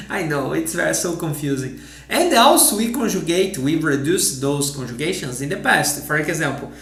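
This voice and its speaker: A man from southern Brazil with a Brazilian Portuguese accent, teaching with examples and repeating phrases slowly. Portuguese is his first language. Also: a little high, expressive, creaky, a bit bright, echoey room.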